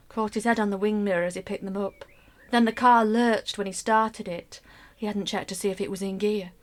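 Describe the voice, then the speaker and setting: An actress playing a wistful middle-aged mother in a radio play monologue, confiding and measured, often dropping almost to a whisper. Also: medium pitch, close to an everyday voice. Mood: subdued, recounting. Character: middle-aged wife and mother, wistful, secretly grieving a love affair. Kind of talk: intimate dramatic monologue, scripted radio play narration.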